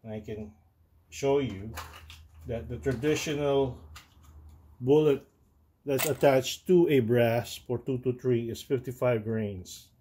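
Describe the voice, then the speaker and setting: A slow, deliberate man whose first language is Tagalog explains things in a mellow, relaxed voice. He pauses and restarts often, filling gaps with "uh" and "um". Faint noise and small clicks sit behind him. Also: medium pitch. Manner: hesitant, many fillers and restarts. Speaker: channel host. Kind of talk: casual explainer vlog.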